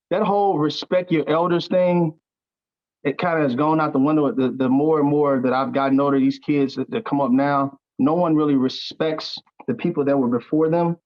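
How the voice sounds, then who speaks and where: A man's voice: a little high, lo-fi sound. A man speaking as an actor in an interview, earnest and conversational, often repeating words as he searches for the next phrase. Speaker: stage actor interviewed as a guest.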